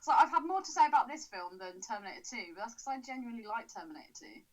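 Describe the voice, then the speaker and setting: A woman co-hosting a podcast in casual chat, sarcastic and quick to swear, laughing often. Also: on the high side, big pitch swings, low-quality recording. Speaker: podcast co-host.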